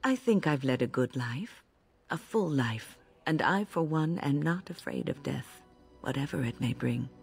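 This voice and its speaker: Wise old lady from a fantasy game, gravelly n low, calm, measured, a bit wry. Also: heavily acted. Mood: serene, reflective. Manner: measured, philosophical, gently wry. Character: serene elderly female healer facing death, gently wry. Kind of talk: video game character dialogue. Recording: game audio with music behind.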